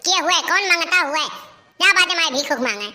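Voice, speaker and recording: A woman acts out a hot-tempered village mother from a comic cartoon. Her voice is high and piercingly sharp, with an Awadhi accent. She rants, complains and curses like someone worn out by chores and her wayward child. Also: expressive, fairly quick, full character voice. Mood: irritated. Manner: ranting, complaining, cursing.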